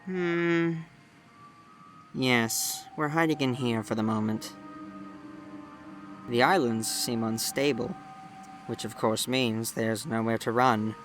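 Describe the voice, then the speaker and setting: A man's voice: mid-range voice, takes it slowly, pauses often, a bit bright. A man voicing a gloomy, resigned villager in a fan dub. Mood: resigned, worried. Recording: music under the voice.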